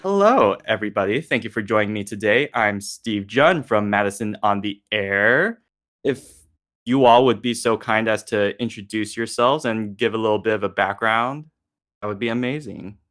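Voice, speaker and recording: A man's voice: on the low side, normal speed, a bit bright. A man hosting a casual panel discussion, speaking in an informal, appreciative way. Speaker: discussion host, voice actor.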